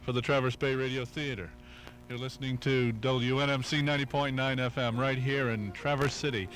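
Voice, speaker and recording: A man's voice: mid-range voice, steady tone, brisk, a bit bright, soft background music. A man hosting a local radio show, asking questions in a casual, conversational way. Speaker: radio show host, interviewer. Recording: noisy home tape of radio broadcast.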